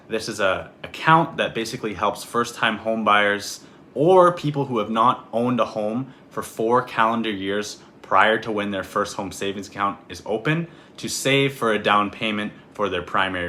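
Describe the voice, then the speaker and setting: A man in his twenties from Canada, a real estate agent talking through an explainer video in a clear, steady way. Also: on the low side, a little hiss or hum. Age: 20s.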